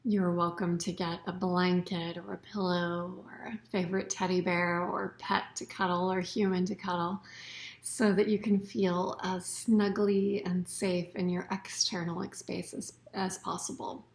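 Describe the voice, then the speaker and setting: A woman teaching a guided yoga nidra meditation in a soothing, unhurried way, her phrases often trailing off into soft breath. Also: on the low side, flat delivery, creaky.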